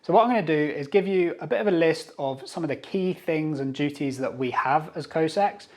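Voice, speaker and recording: A man with a British accent from Jersey in the Channel Islands hosts an explainer video. His voice sits a little higher than average for a man. He explains things in an orderly, informal way, as if following a planned script. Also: a little fast. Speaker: YouTube host and company secretary.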